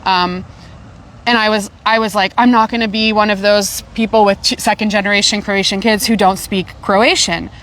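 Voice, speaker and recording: A woman's voice: medium pitch, a bit bright, a little hiss or hum. A middle-aged woman from Canada, hosting a casual podcast chat. She talks animatedly and repeats words while she searches for them. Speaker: podcast host.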